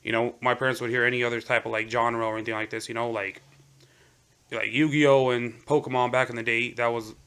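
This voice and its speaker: A man's voice: mid-range voice, level tone, fairly quick, sharp tone, clear, resonant tone. A young man, first-generation American raised speaking Romanian, chatting casually as a podcast guest and rambling with lots of "you know". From United States. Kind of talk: casual conversation.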